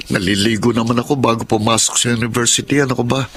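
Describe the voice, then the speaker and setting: A man's voice: medium pitch, steady tone, fast, a bit bright, slight background noise, close to an everyday voice. A man playing an earnest, dutiful young working student in a Filipino radio drama. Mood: explaining.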